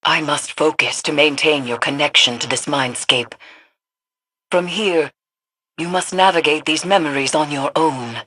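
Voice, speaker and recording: An actress playing a solemn, cryptic mystic in a video game, speaking gravely and deliberately with a husky, breathy voice. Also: female voice, deep voice, pushed and bright, full character voice. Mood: urgent, instructing. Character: solemn, cryptic female mystic, weary and foreboding. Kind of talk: dramatic video game dialogue.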